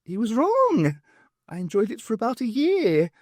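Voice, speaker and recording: Audiobook alien, ancient male rebel poet, last survivor, high lilting delivery, rambling and wistful, performed by a man. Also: wildly swooping pitch, moderate pace, no echo, studio quality, exaggerated voice.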